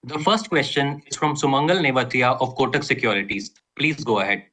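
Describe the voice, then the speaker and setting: A man's voice: mid-range voice, animated, brisk, pushed and bright. A man moderating a conference call with formal, polite scripted announcements.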